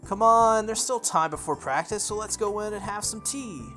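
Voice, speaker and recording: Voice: mid-range voice, normal speed, a bit bright, pitched above the performer's own voice, close to an everyday voice. A game player voicing a frank, tomboyish teenage schoolgirl from a visual novel, blunt and friendly, with music behind. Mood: frank, eager. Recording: music under the voice.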